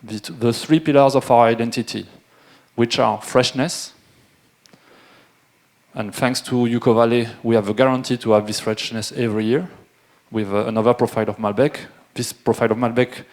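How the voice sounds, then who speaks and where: A middle-aged French man with a French accent, presenting at a wine seminar in a halting way, with many fillers and restarts. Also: medium pitch, a little mellow. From France. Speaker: winemaker. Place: event room with a live audience.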